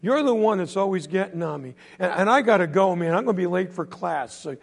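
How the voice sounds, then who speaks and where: A man's voice: high-pitched, lively intonation, brisk, vocal fry, in a large hall, exaggerated voice. A man voicing an angry fourteen-year-old boy who pleads to be left alone, in an exasperated manner.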